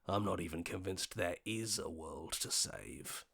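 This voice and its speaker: A man voicing a level-headed, questioning hero in a video game, speaking earnestly in short deliberate lines. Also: deep voice, animated, creaky, a bit bright, husky, exaggerated voice. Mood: wary, reasoning.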